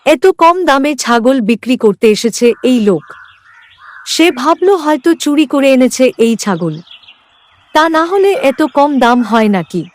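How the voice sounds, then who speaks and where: A woman's voice: high voice, animated, slow pace, darkish tone. A woman narrator reading a scripted cartoon horror tale as a storyteller.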